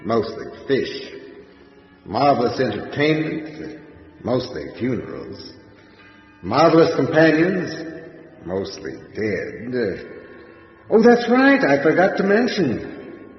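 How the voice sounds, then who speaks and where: An actor playing a gleefully macabre undead horror host for a radio series, with theatrical, mock-genteel delivery. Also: male voice, medium pitch, sing-song, slow, pauses often. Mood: macabre glee. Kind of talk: old-time radio horror hosting. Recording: dull sound, long echoing reverb. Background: music.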